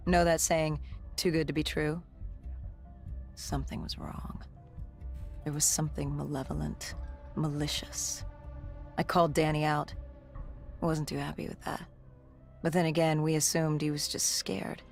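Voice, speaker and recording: An actor playing an augmented soldier in a video game, haunted and resolute, recounting past missions in grave, measured storytelling. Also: mid-range voice, little pitch movement, creaky. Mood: brooding, suspicious. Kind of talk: dramatic game cutscene narration. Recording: music under the voice. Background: music.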